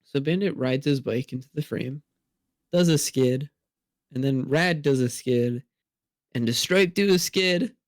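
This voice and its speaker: A man's voice: mid-range voice, unhurried, no room sound, studio quality. A teenage young man co-hosting a podcast, giving a casual recap commentary. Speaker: podcast co-host.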